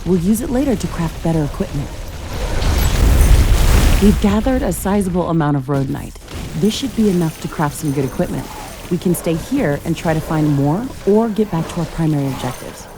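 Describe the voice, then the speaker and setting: A woman playing an eager scientist-commando in a mech suit, speaking crisp, confident, analytical video game dialogue. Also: lowish voice, lively intonation, a little fast, noisy background. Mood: focused, keen. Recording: music bed behind the voice.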